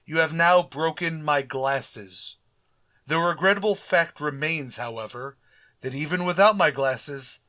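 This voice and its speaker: A man playing a pompous, long-suffering middle-aged school principal with a florid, sarcastic, deliberate delivery in an old-time radio sitcom style. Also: on the high side, bright, forceful tone, full character voice.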